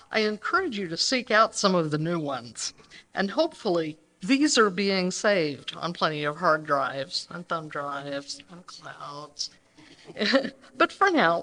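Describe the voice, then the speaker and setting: A woman's voice: lowish voice, bright, forceful tone. A woman co-presenting a live show, speaking conversationally to the audience. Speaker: co-presenter introducing a live radio recreation. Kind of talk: live stage introduction. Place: banquet hall, live before an audience.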